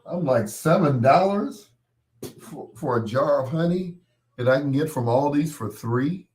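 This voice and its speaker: A middle-aged man with a cold-roughened, hoarse voice, hosting a late-night radio show in a rambling, folksy way and chuckling at his own corny jokes. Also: a little high, expressive, unhurried, darkish tone.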